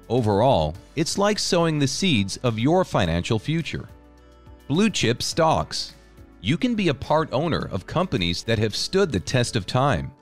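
Man, scripted voice-over, animated pitch, smooth even delivery, faint music underneath. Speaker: narrator. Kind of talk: scripted narration. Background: soft background music.

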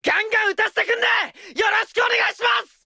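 An actor playing a loud, earnest, hot-blooded teenage baseball pitcher in a game, bellowing with fervour. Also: male voice, level tone, rapid-fire, vocal fry, heavily acted. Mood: fired up. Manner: bellowing, fervent. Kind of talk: anime-style game character lines.

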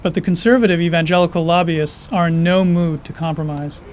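A man's voice: on the high side, steady tone, fairly quick. A man reading scripted documentary narration as the narrator.

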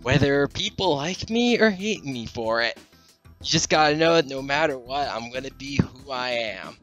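A man's voice: medium pitch, expressive, moderate pace, fairly bright tone. Twenties guy, upbeat, headset mic, faint music behind. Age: 20s. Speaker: contestant. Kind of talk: casual self-introduction. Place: bedroom at home.

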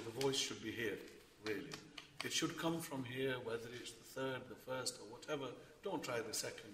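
Animated man telling a story in a hall. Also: medium pitch. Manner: animated, gestures a lot, laughs while telling. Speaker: novelist on a festival panel. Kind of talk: anecdotal storytelling. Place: large hall, seated on stage. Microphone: far room mic. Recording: echoey hall from far off, faint noise.

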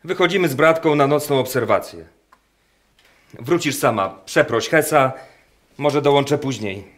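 A man's voice: mid-range voice, expressive, moderate pace, a bit bright, lightly acted. A man playing a stern, irritated German bird researcher in a wartime radio play, curt and reproving. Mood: impatient.

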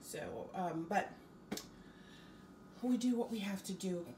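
A woman's voice: medium pitch, takes it slowly, distant mic, noisy background. A woman vlogger chatting casually about her groceries at home, rambling with ums and restarts. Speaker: fitness vlogger. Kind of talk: casual grocery haul chat. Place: home kitchen, indoors.

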